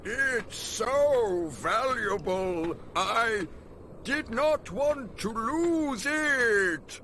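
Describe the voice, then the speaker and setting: A man's voice: very high-pitched, expressive, bright, forceful tone, a little hiss or hum, heavily acted. An actor playing a pleading, devout old male prisoner in a video game, speaking in a formal, theatrical way. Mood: pained, whining. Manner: theatrical, formal, drawn-out delivery. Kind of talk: dramatic video game cutscene acting.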